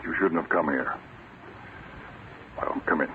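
An actor playing a calm, commanding counterspy chief in an old-time radio drama, with a terse, measured delivery. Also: male voice, very deep voice, narrow, tinny audio. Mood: grave. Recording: scratchy, band-limited old radio recording.

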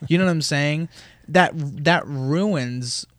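A man's voice: fairly high pitch, dry recording, clean studio sound. Casual man who keeps saying dude. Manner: casual, says "dude" and "you know" often, rapid bursts. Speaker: podcast co-host.

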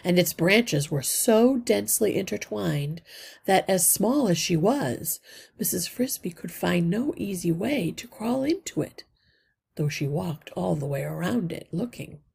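A woman reads a children's story aloud expressively, with deliberate phrasing that drops into hushed line endings and a husky, breathy voice. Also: lowish voice, lively intonation. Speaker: read-aloud podcast narrator.

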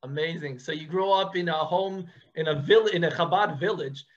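A man's voice: on the high side, moderate pace, muffled recording. A man hosting a podcast, asking questions in an upbeat, encouraging way. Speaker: podcast host. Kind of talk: podcast interview.

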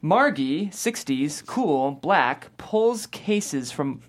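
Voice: medium pitch, animated, very slow. Script narrator, stage directions, comedy table read, very varied intonation.

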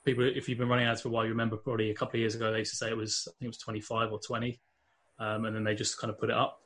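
Fast-talking London man, flat delivery. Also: on the low side. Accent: London English. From England. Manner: fast, hesitant, many fillers and restarts. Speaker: podcast guest. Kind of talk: casual interview talk.

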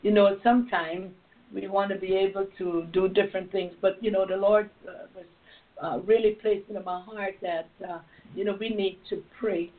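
A hoarse woman hosting a prayer line, leading intercession fervently and repeating words of praise. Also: medium pitch, steady tone, moderate pace. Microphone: phone call. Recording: tinny phone line.

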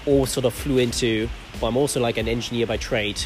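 A man hosts a casual interview, asking long questions full of repeated stammered restarts. His voice sits at an ordinary middle pitch. Music plays clearly behind him. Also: fairly even intonation, brisk. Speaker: podcast host.

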